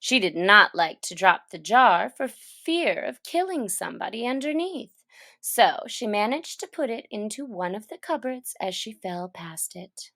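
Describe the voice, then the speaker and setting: A woman reading a children's story aloud in a gentle, soft manner that often drops to near a whisper. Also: mid-range voice, very animated, a little slow, no room sound, studio quality.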